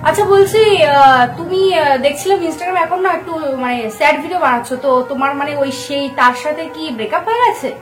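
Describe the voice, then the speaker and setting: Female prank caller, quick and very high voice, teasing, stop-start delivery, echoey room. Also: lively intonation. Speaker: prank caller, channel host. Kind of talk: casual teasing prank phone call. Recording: echoey room, faint music.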